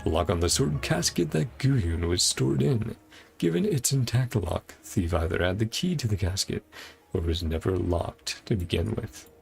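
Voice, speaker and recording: A man's voice: lowish voice, expressive, moderate pace, creaky, a little mellow, soft background music. A man doing casual video game playthrough commentary, musing aloud and reading game text out as he goes. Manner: musing aloud, speculating to himself. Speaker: gaming content creator playing through a story quest.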